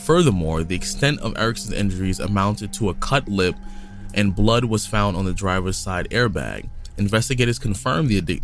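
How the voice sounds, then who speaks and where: A man co-hosting a podcast, reading a crime story from notes in a casual way, sometimes stumbling and restarting. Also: lowish voice. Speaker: podcast co-host. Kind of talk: reading a case aloud in casual chat.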